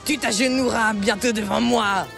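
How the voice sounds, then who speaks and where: A woman's voice: on the high side, steady tone, normal speed, creaky, a bit bright, soft background music, voice pushed up. A woman doing a pompous snake demon villain. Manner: grandiose, indignant, old-fashioned formal speech. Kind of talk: fan dub acting.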